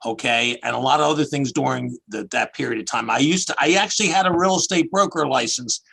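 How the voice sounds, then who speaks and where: Gravelly Philly man in his sixties. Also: medium pitch, fast talker, bright, forceful tone. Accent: Philadelphia. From Philadelphia area. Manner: rambling, chatty storyteller. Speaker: guest, tax accountant. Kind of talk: casual interview over video call. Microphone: video call.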